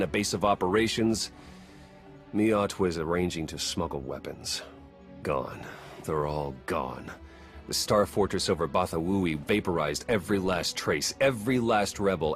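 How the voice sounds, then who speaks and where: Worried intelligence agent for a game: deep, earnest, grave, light music behind. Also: male voice. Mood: grim. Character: grim, worried male agent briefing his commander. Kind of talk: dramatic video game acting.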